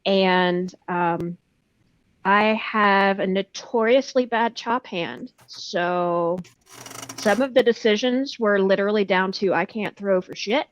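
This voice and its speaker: A woman in her thirties talks casually and frankly, poking fun at herself with salty language. Her pitch sits in the middle range. The sound is dry and a little muffled, as on an online call. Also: slow, dry recording. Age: 30s. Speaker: guest voice actor. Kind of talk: casual chat. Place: indoors, on a video call.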